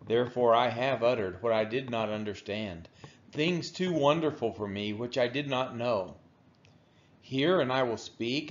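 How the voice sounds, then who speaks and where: Slow-reading man, slightly dull recording. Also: medium pitch. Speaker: scripture reader. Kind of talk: measured devotional scripture read-aloud.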